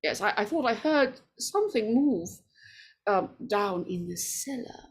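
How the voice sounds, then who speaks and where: An actress playing a frightened, superstitious older woman in 1940s radio horror style, breathy and stammering. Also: mid-range voice, animated. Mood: nervous, hesitant. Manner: halting, stammering.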